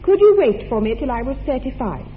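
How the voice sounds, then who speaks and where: A pert, self-assured girl of eighteen played by a young woman in an old radio play, a little high and bouncy in tone. Her talk is candid and artless, and the recording is thin and phone-narrow with faint hiss. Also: very animated, a little fast. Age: young adult.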